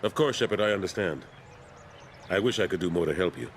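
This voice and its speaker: Weary older officer, low voice. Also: male voice, expressive, slight background noise. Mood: weary, earnest. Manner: measured, earnest. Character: weary, principled older military councilor. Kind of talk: video game dialogue acting.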